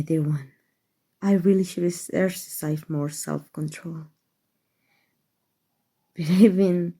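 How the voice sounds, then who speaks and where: A woman with a Mexican accent voicing an elegant, centuries-old female vampire in an ASMR roleplay, doting and softly formal, with a breathy voice. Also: lowish voice. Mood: apologetic, tender. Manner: soft, tender, formal and unhurried endearments.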